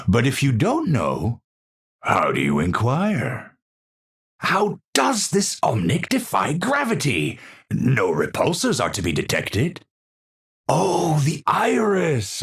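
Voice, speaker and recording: An actor playing an eccentric, unstable scientist in a video game, with a Dutch accent, husky voice and theatrical, formal scientific phrasing. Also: male voice, fairly high pitch, fairly bright tone, exaggerated voice. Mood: curious, musing. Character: eccentric, unstable male scientist, lofty and absent-minded. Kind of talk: video game character voice lines.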